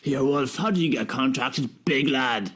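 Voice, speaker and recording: A man's voice: mid-range voice, unhurried, background noise, close to an everyday voice. A man playing a cocky, crude mercenary in a radio play, bantering colloquially.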